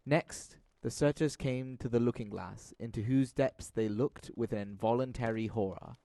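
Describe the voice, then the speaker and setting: A man's voice: medium pitch, normal speed, dark tone, dry recording. A young man reading scripted dramatic narration of a classic tale, as narrator of an audio drama. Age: young adult.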